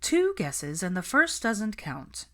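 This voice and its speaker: Audiobook role: exasperated young witch bride, read by a woman, emphatic venting, very animated pitch. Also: takes it slowly, full character voice. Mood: weary. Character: stressed, exasperated young witch planning her wedding.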